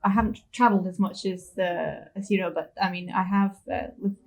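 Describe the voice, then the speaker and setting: A woman's voice: mid-range voice, fairly even intonation, a little fast, darkish tone. Scottish-born woman musing on a panel. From Scotland. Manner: hesitant, many restarts, thinks aloud. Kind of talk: panel discussion.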